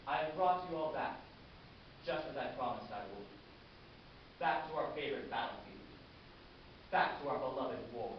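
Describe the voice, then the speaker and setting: A man playing a fanatical, war-loving military commander in a grandiose, savoring theatrical monologue, addressing his battalion with deliberate oratory. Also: medium pitch, lightly acted. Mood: triumphant, menacing. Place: large echoing room, festival stage performance. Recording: echoey hall from far off, muffled, hissy.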